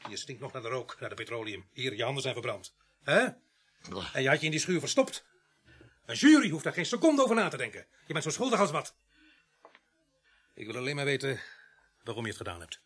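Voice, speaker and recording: An actor in a radio play voicing a stern, sardonic police sergeant who swings from quiet coaxing to barking. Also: male voice, on the high side, very animated, fairly quick, long pauses, slightly rough, exaggerated voice. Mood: stern, insistent.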